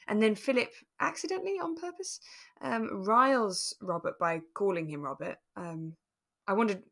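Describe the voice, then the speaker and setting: A woman co-hosting a podcast, recapping casually in a measured, thoughtful way. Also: mid-range voice, fairly bright tone. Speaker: podcast co-host. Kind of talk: relaxed chat recapping a radio drama.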